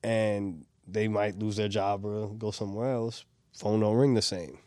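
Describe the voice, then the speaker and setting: A middle-aged man from San Francisco, talking as a guest in a relaxed interview, loose and profane with lots of "like" and "you know.". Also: lowish voice, measured pace, dry recording, clean studio sound. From San Francisco, USA. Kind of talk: casual interview chat.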